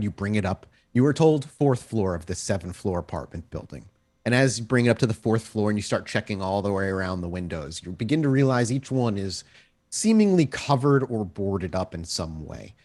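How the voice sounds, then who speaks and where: A man acting as storyteller, improvising descriptive narration for a tabletop roleplaying game in a halting way. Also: on the low side, animated, a little mellow.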